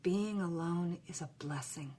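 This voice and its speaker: A woman's voice: lowish voice, a little slow, warm tone. A woman voicing a passionate, sensual young film star, reading a journal with lingering, dramatic phrasing. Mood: yearning, passionate.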